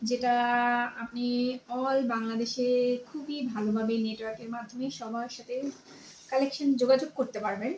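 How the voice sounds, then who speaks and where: Bangladeshi woman, customer relations officer, high voice, explains products with repeated phrases, faint noise behind. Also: fairly even intonation, moderate pace, darkish tone. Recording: echoey room, faint background noise.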